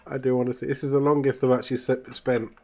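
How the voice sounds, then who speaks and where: A man's voice: mid-range voice, flat delivery, fast, a little mellow. A man with a congested voice from a cold, giving casual gameplay commentary and muttering questions to himself as he goes. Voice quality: congested, bunged-up nose. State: full of a cold. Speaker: gaming video host. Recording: clear background noise, keyboard clicks.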